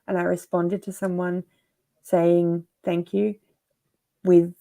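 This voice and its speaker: A woman's voice: lowish voice, little pitch movement, takes it slowly, creaky, mellow. A middle-aged woman hosting a casual livestream chat, chatty and laughing often as she reads comments aloud. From Australia. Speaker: host.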